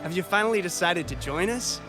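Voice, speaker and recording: A man voicing a cheerful male guild receptionist who greets visitors slowly and warmly, in a sing-song way, over game music. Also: high-pitched, lightly acted. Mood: welcoming. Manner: slow, welcoming, sing-song. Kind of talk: game cutscene voice acting.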